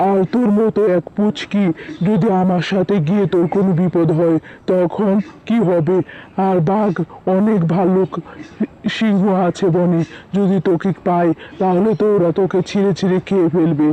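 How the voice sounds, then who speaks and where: A man's voice: sits high, steady tone, normal speed, warm tone, lo-fi sound, a little hiss or hum, natural voice. A man voicing a big, plump cow in a children's cartoon, condescending and scolding yet protective. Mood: reluctant, warning. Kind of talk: children's animated story dialogue.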